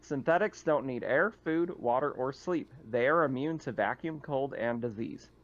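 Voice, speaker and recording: A man's voice: mid-range voice, normal speed, over a noisy crowd. A man acting as game master, narrating and explaining rules in a tabletop roleplaying session. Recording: dull, lo-fi voice audio.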